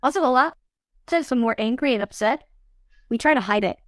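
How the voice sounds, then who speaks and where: A woman's voice: fairly high pitch, lively intonation, brisk, no room sound, studio quality. A young adult woman, an actress being interviewed, talking casually and animatedly, often saying "you know what I mean". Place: studio podcast set.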